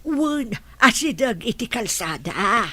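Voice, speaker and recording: A woman's voice: on the high side, sing-song, slow pace, pushed and bright, no echo, full character voice. A woman playing a forgetful old grandmother. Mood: confused. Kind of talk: radio drama acting.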